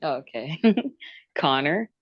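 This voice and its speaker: A low-voiced woman hosts a group dating show, moving briskly from guest to guest. Her voice sounds close and dry, with slightly dull sound. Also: lively intonation, no room sound. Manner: brisk, repeats short approvals. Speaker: host.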